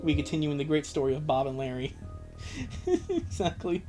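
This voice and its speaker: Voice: mid-range voice, brisk, music in the background. A streamer hosting a live fan fiction reading, joking and breaking into laughter between the lines. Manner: jokey, breaks into laughter, exclamations. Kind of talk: livestream dramatic reading with joking asides.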